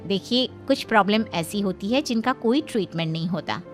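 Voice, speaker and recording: A woman voicing a matter-of-fact doctor breaking bad news in a cartoon story, measured and explanatory. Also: medium pitch, animated, faint music behind, natural voice. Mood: grave, matter-of-fact. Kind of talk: Hindi cartoon story voice acting.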